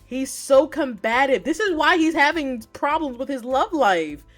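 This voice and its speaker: A woman live streaming a visual novel, reacting in casual, slangy, excitable commentary with plenty of swearing and loud exclamations. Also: high-pitched, a little slow, soft background music. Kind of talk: game commentary, casual reactions between reading aloud.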